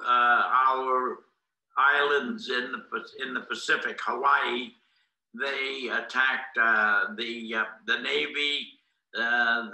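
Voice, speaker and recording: Slow, bright-voiced elderly man, echoey room. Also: medium pitch. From Boston, United States. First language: Greek. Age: 90s. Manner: deliberate, halting, formal storytelling with long pauses. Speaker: interviewee, war veteran. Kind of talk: recounting life history in an interview.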